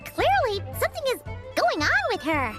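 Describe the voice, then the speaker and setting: Tiny squeaky excitable game sidekick kid, voice bouncing all over, music behind. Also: female voice, moderate pace, exaggerated voice. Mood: curious, puzzled. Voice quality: squeaky, childlike. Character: small excitable childlike companion speaking of herself in third person.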